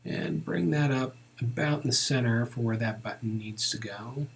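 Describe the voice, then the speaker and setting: A man giving a casual step-by-step software tutorial, thinking aloud informally as he works. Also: mid-range voice, moderate pace, gravelly.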